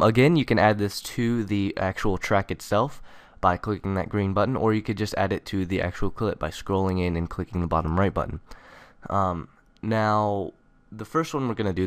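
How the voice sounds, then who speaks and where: Low, clean-voiced young man. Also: normal speed, clear, resonant tone, no room sound. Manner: informal, conversational. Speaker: tutorial host. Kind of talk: casual software tutorial narration.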